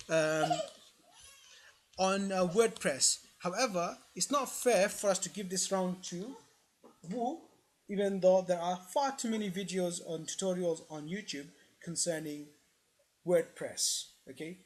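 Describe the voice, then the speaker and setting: A presenter recording an informal comparison voice-over, opinionated and emphatic, often repeating phrases to drive a point home. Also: mid-range voice. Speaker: tutorial presenter.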